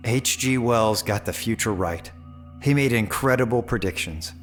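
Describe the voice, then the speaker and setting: A man's voice: on the low side, normal speed, soft background music, natural voice. An actor playing a curious, practical, plain-spoken man who keeps questioning in a sci-fi audio drama. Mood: inquisitive.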